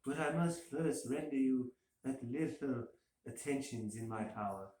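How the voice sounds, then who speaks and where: A man playing a cold, mocking avenger in a gothic horror story, speaking deliberately and theatrically. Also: mid-range voice, dark tone, close to an everyday voice. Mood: mock concern. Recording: roomy echo, faint hiss.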